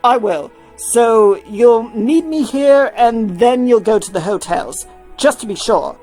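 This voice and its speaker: A woman's voice: on the high side, expressive, slow, mellow, faint music behind, poor audio. A woman voicing an easygoing young male rock star in a story reading. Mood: friendly.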